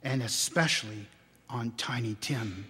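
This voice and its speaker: A man giving a theatrical, expressive live storybook narration in a church. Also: medium pitch, a little slow, slightly rough. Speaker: dramatic reader. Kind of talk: scripted storybook narration before an audience. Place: inside a church, live reading. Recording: church hall with long reverb.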